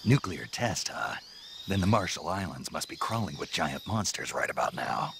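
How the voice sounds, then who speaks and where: An actor playing a gruff, stoic special forces soldier with a gravelly, dry delivery in a video game. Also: male voice, low-pitched, big pitch swings, moderate pace, background noise, heavily acted. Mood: deadpan.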